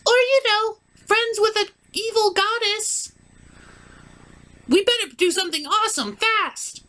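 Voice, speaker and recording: Man putting on high, dramatic girl pony voices. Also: unhurried, bright, forceful tone, heavily acted. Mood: sassy. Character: excitable, sassy young female pony heroines. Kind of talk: comic puppet show voice.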